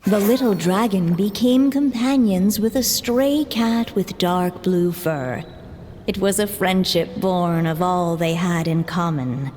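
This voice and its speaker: A woman tells a fairy tale in a slow, calm, mellow voice, pitched a little low. The game audio is echoey, with faint ambience behind her. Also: a little hiss or hum. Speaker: storybook narrator. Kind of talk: scripted fairy-tale narration.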